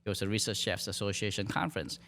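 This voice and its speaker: A middle-aged man from Malaysia, a dean in hospitality, talking in a relaxed, halting way with fillers and restarts in a podcast interview. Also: lowish voice.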